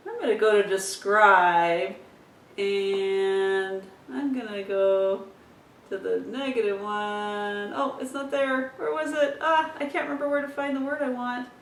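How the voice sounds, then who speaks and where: A woman talks through a lesson in a casual way, thinking aloud as she goes. Her pitch is average. She sounds distant from the mic in an echoey room, with some low rumbling noise. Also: fairly even intonation, a little slow. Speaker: presenter modelling how to use a communication device.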